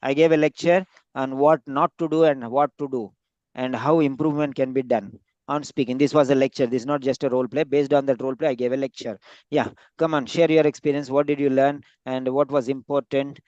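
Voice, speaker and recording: A man teaching an online speaking class over a video call, briskly calling on students by name for feedback. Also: mid-range voice, steady tone, a little mellow, clean tone, no echo, lo-fi sound.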